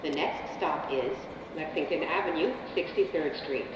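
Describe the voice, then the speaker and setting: Echoey female subway announcer, voiced by a woman. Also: deep voice. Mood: neutral, formal. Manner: measured, impersonal. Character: neutral automated female subway train announcer. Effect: train PA speaker. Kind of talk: scripted transit announcement. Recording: echoey, distant, muffled, noisy train PA.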